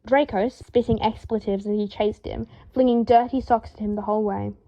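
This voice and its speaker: A woman reading a story aloud in a lively, expressive way, acting out the dialogue. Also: medium pitch, normal speed, muffled recording. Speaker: fan fiction reader.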